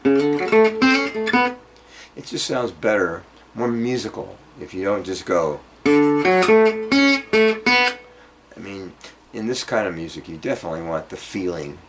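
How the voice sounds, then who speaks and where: A man giving an informal guitar lesson, explaining haltingly and checking in with the listener as he demonstrates phrases. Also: low-pitched, big pitch swings, rough recording. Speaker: guitar teacher. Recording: loud acoustic guitar alongside the voice. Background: guitar being played between phrases.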